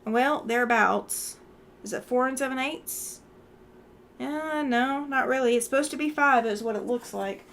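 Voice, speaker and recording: Tired chatty Southern woman, slow talker. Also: sits high, a bit bright, slight background noise. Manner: chatty, laughs often, thinks aloud with fillers. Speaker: quilting livestream host. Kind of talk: casual chat while quilting.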